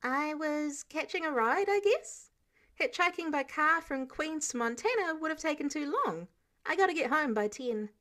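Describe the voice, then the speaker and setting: Lady doing a nervous nerdy teen superhero kid, high voice, unsure, ends stuff like questions. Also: expressive, normal speed, fairly bright tone. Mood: awkward, earnest. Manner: hesitant, questioning upspeak. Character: nervous, awkward, nerdy teenage boy superhero.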